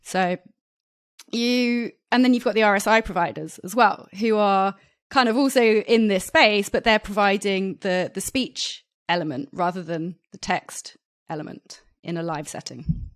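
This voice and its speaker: A woman co-hosts a podcast and discusses the industry in a loose, conversational way. She hedges a lot and fills pauses with "kind of" and "um." Her voice sits at a mid pitch. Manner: hedging, conversational, many fillers. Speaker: podcast co-host. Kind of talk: casual industry chat.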